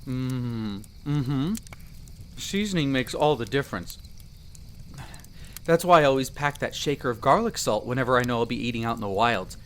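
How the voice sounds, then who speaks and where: A man's voice: medium pitch, moderate pace, fairly bright tone, a little hiss or hum, lightly acted. A man playing a friendly, chatty elf explorer in a roleplay audio drama, explaining things in an easygoing, conversational way to the listener. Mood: relaxed, content. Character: friendly chatty male elf explorer, eager magic mentor.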